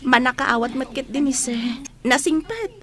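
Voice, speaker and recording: A woman plays a young female student in a radio drama. Her voice is high, and she comes across as kind, frank and playful. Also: lively intonation, moderate pace. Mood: friendly, encouraging. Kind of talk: Filipino radio drama acting.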